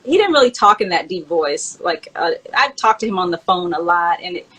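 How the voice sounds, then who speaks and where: Female co-host, lowish husky voice, casual and halting conversational delivery. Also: expressive, pushed and bright, low-quality recording. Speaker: co-host, memoir author. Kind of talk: casual chat, reminiscing.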